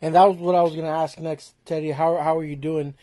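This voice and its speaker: A man conducts a casual interview in a dark, relaxed voice. His pitch sits slightly high, and the sound is dry. He is conversational and a little hesitant as he asks questions. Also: quick pace, no room sound. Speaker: interviewer, host.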